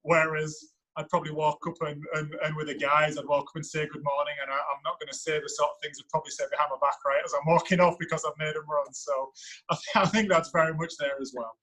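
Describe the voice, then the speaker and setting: A deep-voiced man in his thirties talks through his coaching experience on a podcast with a non-American accent. His tone is bright and forceful, yet he thinks aloud as he answers, weighing each point. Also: little pitch movement, fast, rough recording. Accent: foreign to the United States. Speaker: women's soccer head coach.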